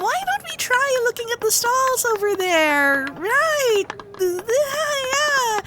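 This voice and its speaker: A woman's voice: very high-pitched, background noise, full character voice. A woman voicing a sweet, flustered young fox-spirit girlfriend in an ASMR roleplay, with a nervous, stammering, caring manner.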